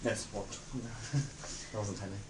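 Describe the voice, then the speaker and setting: Casting: male, late teens, southern English, slightly low pitch, informal hesitant interviewee in a roomy space. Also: fairly even intonation, takes it slowly, recorded from a distance. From Reading, England. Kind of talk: casual documentary interview. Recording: roomy echo, faint background noise.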